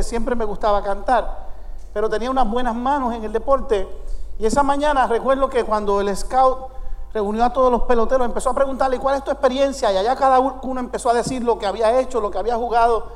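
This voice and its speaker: High-voiced Puerto Rican preacher in his fifties. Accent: Puerto Rican Spanish. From United States. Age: 50s. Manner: preaching with call-and-response to the congregation. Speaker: pastor. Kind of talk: sermon. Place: church sanctuary. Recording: long reverb of a large church hall.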